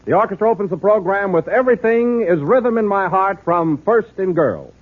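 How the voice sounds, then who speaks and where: A man's voice: high-pitched, animated, normal speed, very noisy. An American man announcing in an emphatic, polished 1930s radio advertising style. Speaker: radio announcer and comic sidekick. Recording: narrow-band old radio broadcast.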